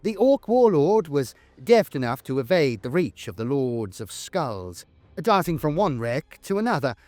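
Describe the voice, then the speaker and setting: A man's voice: medium pitch, darkish tone, no echo. A man delivering scripted lore narration in a grandiose, theatrical storytelling manner. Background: background music.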